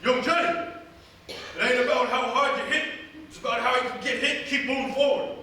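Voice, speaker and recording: Echoey tough streetwise tomcat. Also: male voice, very high voice, brisk, pushed and bright. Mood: rousing, determined. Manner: streetwise slang, grandiose pep talk. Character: tough male Italian tomcat, brooding boxer-style action hero. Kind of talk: live comedic radio theatre acting. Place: theatre stage in front of audience. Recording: echoey live theatre stage, distant, faint noise.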